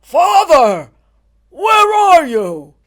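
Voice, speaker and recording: A woman voicing a lonely, wounded male creature made from the dead, calling out loudly and slowly in a storybook reading. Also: sing-song, exaggerated voice. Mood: anguished calling. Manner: loud, pleading, slow booming calls.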